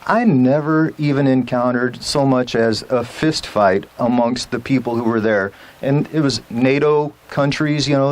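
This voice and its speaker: A man's voice: medium pitch, low-quality recording. A man giving an emphatic rally speech as a legislator, with rhetorical questions and rousing appeals to the crowd.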